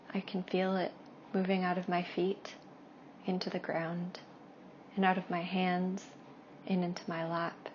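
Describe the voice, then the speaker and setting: A woman leading a guided meditation in a hushed, soothing way, with long pauses and soft, breathy phrase endings. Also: on the low side. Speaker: meditation guide and Reiki practitioner. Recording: dull lo-fi with faint background noise.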